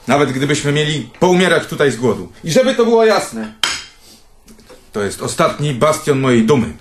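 A man acts out a proud, eccentric middle-aged husband in a radio drama. He grumbles and scolds haughtily in a slightly high male voice. The room echoes and dishes clink behind him. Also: noisy background, natural voice. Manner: haughty, complaining. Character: grumbling, proud, eccentric writer nearing forty. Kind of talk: radio play acting.

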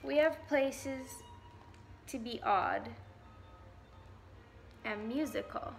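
Slow-paced young woman reading a picture book. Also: sits high, vocal fry, soft background music. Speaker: volunteer story reader.

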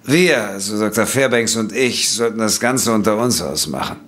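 A man playing a bitter, defensive doctor fallen on hard times, speaking haltingly in a German audio drama. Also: lowish voice, expressive, fairly bright tone. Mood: resigned. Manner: halting, stammering, agitated. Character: defensive, bitter, disgraced male doctor who drinks.

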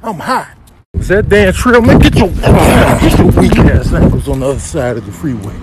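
A man's voice: fairly high pitch, very animated, moderate pace, heavy background noise. A man voicing a brash, bossy animal with slangy, boastful talk in a comic animal voiceover.

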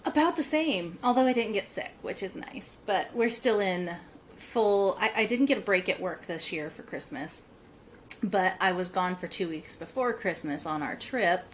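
A woman's voice: mid-range voice, lively intonation, normal speed, a little hiss or hum. A woman in her thirties hosting a casual podcast chat from home over a video call, upbeat and chatty, laughing often. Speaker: podcast host. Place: home room with bookshelves, indoors. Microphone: earbuds on a video call.

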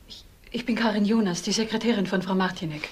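A woman's voice: mid-range voice, steady tone, quick pace, lightly acted. A woman playing an anxious company secretary in a crime radio drama.

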